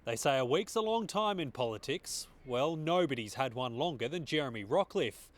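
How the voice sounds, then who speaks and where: A male reporter reads his package briskly and punchily at a middling pitch. A faint hum lies under the voice. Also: animated. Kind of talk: scripted news voice-over.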